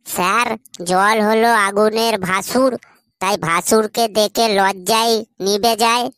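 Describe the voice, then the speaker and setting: A woman's voice: medium pitch, fairly even intonation, a little slow, fairly bright tone, smooth, no echo, studio quality, close to an everyday voice. A woman voicing an eager, cheeky young school pupil in a comedy cartoon, earnest and keen to answer. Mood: cocky.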